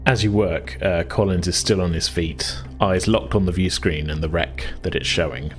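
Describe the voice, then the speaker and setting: A man's voice: low voice, moderate pace, soft background music. A man acting as game master, narrating a tabletop role-play game in a casual, informal way.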